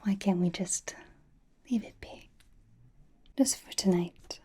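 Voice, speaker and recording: A flirtatious, possessive vampire acted by a woman whispers in an intimate ASMR roleplay. The delivery is very breathy and unhurried, with a soft, mellow tone. The vampire teases and reassures in turn. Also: medium pitch. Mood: teasing, attentive. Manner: intimate, slow whispering with sighs and soft hums.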